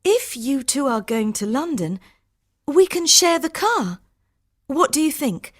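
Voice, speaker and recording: Desperate young female traveller for audiobook dialogue: anxious, pleading, very expressive, unhurried. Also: high-pitched, dry recording, studio quality. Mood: hopeful, urgent.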